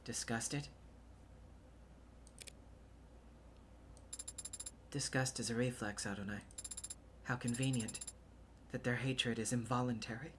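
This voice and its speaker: A woman's voice: low voice, brisk, slight background noise, close to an everyday voice. A woman voicing an earnest, weary female android in a video game, speaking formally and precisely. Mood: quiet, bitter. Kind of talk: video game character voice acting.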